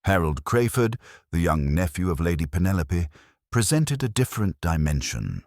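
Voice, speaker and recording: A man reading scripted mystery fiction as a narrator, in a measured, formal storytelling manner. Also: low voice, big pitch swings, darkish tone, no room sound, clean studio sound.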